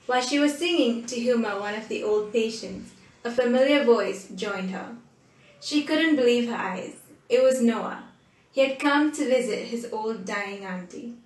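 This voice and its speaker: A woman's voice: fairly high pitch, unhurried. A woman in her twenties narrating a scripted story, recorded in a bare room from a short distance. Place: indoor room with bare walls. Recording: echoey room, mic at a distance.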